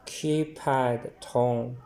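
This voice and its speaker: Slow, flat, dark-voiced man demonstrating a product. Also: mid-range voice. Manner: halting, reading out short phrases.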